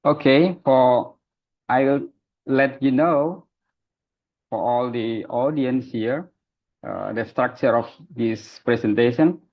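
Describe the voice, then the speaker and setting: A man moderating a webinar, opening it formally and halting often with fillers while greeting the speakers. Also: mid-range voice, highs cut off. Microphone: video call.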